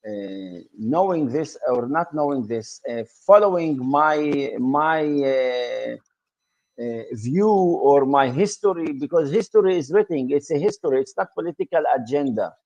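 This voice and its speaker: High-voiced gravelly man explaining history. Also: darkish tone, highs cut off. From Israel. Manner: earnest, lecturing, many "uh" fillers and restarts. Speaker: interview guest, association founder. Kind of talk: podcast interview, explaining history.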